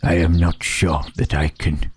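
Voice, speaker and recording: A man voicing a gentle, self-loathing Frankenstein's monster in an ASMR roleplay, speaking in halting, formal phrases with a husky, rough voice. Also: very deep voice, level tone, slight background noise. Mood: pained, confused. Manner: halting, formal phrasing with searching tag questions. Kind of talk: slow ASMR roleplay monologue.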